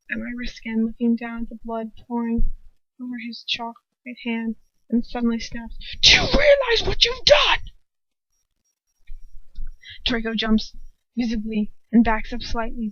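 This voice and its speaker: A woman's voice: on the high side, darkish tone, dry recording. A woman reading a story aloud as an amateur narrator, in a dramatic first-person style. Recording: dull lo-fi home recording.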